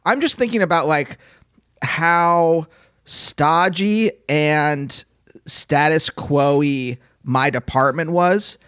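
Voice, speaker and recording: A man's voice: on the high side, drawn-out words, fairly bright tone, clear, resonant tone, no room sound, studio quality. A male podcast host asking hesitant, probing questions in a casual interview.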